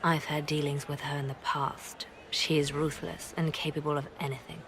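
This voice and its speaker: An actress playing a calm, businesslike female spymaster in a video game cutscene. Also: low voice, moderate pace, noisy background. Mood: brisk. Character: cool scheming female spymaster. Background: music.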